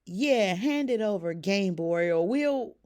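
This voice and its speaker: Woman playing an excitable, scheming male plush toy. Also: medium pitch, slow. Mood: gleeful plotting.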